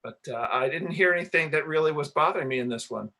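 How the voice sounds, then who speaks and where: A man who writes radio plays, talking casually and haltingly in a group discussion, often repeating words. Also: on the high side, pushed and bright.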